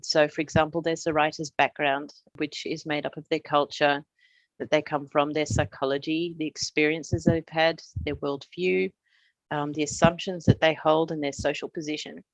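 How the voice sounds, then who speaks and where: A middle-aged woman from Australia, a translator, giving a prepared presentation in a measured, explanatory way with touches of humor. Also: deep voice, flat delivery, creaky, dry recording, poor audio.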